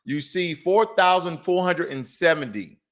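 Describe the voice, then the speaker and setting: A man's voice: fairly high pitch, animated, measured pace. An American man hosting a news commentary show, talking viewers through charts in a didactic, emphatic way and often checking that they follow.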